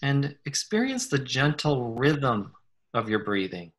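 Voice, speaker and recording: A man leading a guided meditation in a gentle, unhurried manner, leaving long pauses between short phrases. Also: mid-range voice, big pitch swings. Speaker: guided meditation leader, psychologist. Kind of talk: slow guided meditation, soothing instruction.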